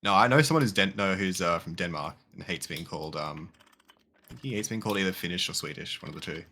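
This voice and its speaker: A man's voice: low-pitched, expressive, fast talker, bright, forceful tone, slight background noise. A man chatting casually while streaming a modded game, rambling and swearing loosely. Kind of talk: casual gaming chat.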